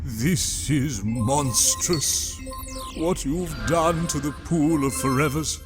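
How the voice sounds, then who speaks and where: A man playing a gentle, anxious god of time in an audio drama, speaking in an earnest, pleading way. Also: on the high side, slow pace. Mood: appalled, pleading. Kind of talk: comic book audio drama acting. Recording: long reverberant hall, faint music.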